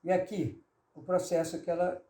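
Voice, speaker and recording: A woman's voice: lowish voice, steady tone, normal speed, crowd noise. An older woman from Brazil, a singer speaking with a Brazilian accent, telling stories animatedly and finishing her partner's sentences in an interview. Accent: Brazilian Portuguese. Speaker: singer, guest. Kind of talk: reminiscing interview conversation. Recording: roomy echo.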